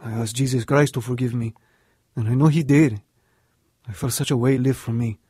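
A man's voice: medium pitch, fairly even intonation, normal speed, warm tone, husky, no echo, clean studio sound, natural voice. A man playing an earnest, newly converted young brother in a radio drama. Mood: remorseful, hopeful.

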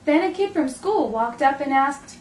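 A woman in her thirties reading a picture book aloud to preschoolers with expressive, deliberate delivery. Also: high voice. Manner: expressive, clearly paced delivery for young children. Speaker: children's storytime host. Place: indoors in a room, standing before backdrop. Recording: roomy, distant mic, faint noise.

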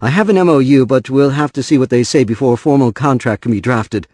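A man's voice: mid-range voice, quick pace, darkish tone, dry recording, clean studio sound, natural voice. A man acting a frazzled, mild-mannered professional in an audio drama. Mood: apologetic.

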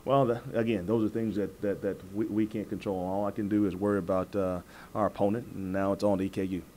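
An American man in his forties, a football coach answering press questions in a measured, halting way, repeating words as he goes. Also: lowish voice, level tone, mellow, slight background noise. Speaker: college football head coach. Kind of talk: postgame press conference answers. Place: indoor press room at a table before a backdrop. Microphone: several press microphones on the table.

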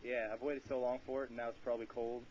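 A male prank caller with a medium-pitched voice talks in a rambling, slangy, straight-faced way. It is a casual phone conversation. The line is dull and muffled, with faint noise and clicks. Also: level tone, fast talker, darkish tone. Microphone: telephone.

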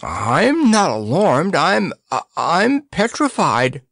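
A man voicing a dreamy, forgetful young male poet in a storybook, theatrical and halting. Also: fairly high pitch, lively intonation, gravelly, no room sound, studio quality, voice pushed up, heavily acted. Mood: frightened.

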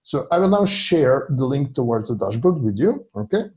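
Halting man with a gravelly voice. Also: mid-range voice, big pitch swings, a little mellow, highs cut off. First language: Serbian. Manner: halting, many fillers and restarts while clicking through a screen. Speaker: data scientist presenting a dashboard. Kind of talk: screen-share presentation at an online meeting. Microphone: video call.

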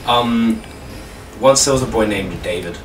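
A man's voice: on the low side, measured pace, soft background music. A man joking crudely and casually as he chats over gameplay and makes up silly stories. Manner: jokey, crude, giggly. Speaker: gaming video co-host improvising stories. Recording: distant mic with faint noise, roomy.

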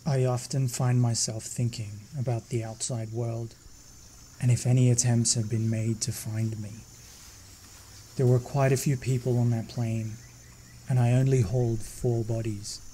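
Guy playing lonely crash survivor in a film, very dark flat voice, somber monologue, faint noisy ambience behind. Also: mid-range voice, close to an everyday voice. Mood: somber, reflective. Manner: slow, somber, introspective. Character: lonely stranded plane crash survivor, a brooding man. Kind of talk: scripted film monologue narration.

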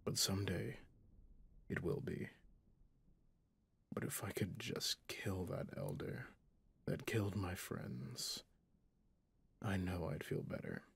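A man playing a guilt-ridden, stern, centuries-old vampire hunter in an ASMR roleplay, speaking solemnly and haltingly in a husky, breathy half-whisper. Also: low-pitched, sing-song, exaggerated voice. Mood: grim, guilty.

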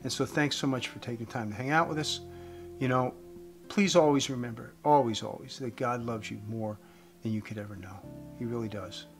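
A man in his fifties giving an earnest evangelistic talk to camera as a host, phrasing deliberately with emphasis. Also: mid-range voice. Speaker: host giving a faith message. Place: indoors, room with wooden beams. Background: guitar music.

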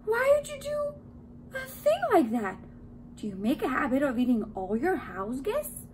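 Woman voicing an excitable little male donut, swooping pitch. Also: normal speed, slight background noise. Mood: shocked, indignant. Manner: dramatic, exclaiming, sometimes frantically whispering. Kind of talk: children's storybook character voice.

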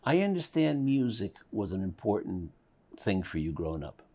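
A man's voice: lowish voice, big pitch swings, moderate pace, dark tone. A man interviewing a guest, asking short questions and offering brief encouraging replies.

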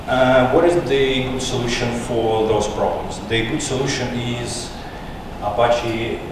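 Halting man with a Russian native tongue, echoey hall. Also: medium pitch, fairly even intonation. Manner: hesitant, many fillers and restarts. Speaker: conference speaker. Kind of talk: tech conference talk. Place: large conference room. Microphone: handheld mic, far camera. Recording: echoey hall recorded from far off, noisy.

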